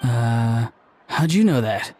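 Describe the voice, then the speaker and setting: An actor playing a cool, casual young adventurer in a video game, speaking in an offhand way. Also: male voice, medium pitch, animated, slow, darkish tone, faint music behind. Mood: sheepish.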